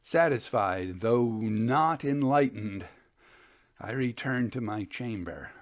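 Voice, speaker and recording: A man hosting and reading Victorian ghost stories in a measured, expressive storytelling manner. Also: medium pitch. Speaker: podcast host and reader of ghost stories.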